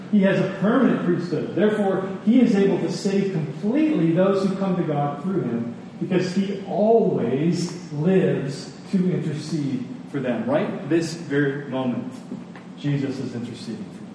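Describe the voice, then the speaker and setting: Echoey, mellow-voiced male preacher. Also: on the high side. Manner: earnest, emphatic, with deliberate repetition for weight. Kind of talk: sermon. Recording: echoey hall, distant, faint noise.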